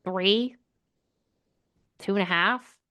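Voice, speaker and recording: A woman's voice: medium pitch, drawn-out words, highs cut off. A woman co-hosting a book podcast in casual chat, speaking in an informal, opinionated and emphatic way.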